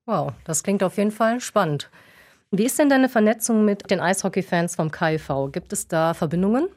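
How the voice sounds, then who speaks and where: A woman's voice: mid-range voice, animated, moderate pace, a little mellow. A young woman from Düsseldorf, chatting casually as co-host, laughing often and using many fillers. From Düsseldorf, Germany. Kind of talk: casual chat, question round.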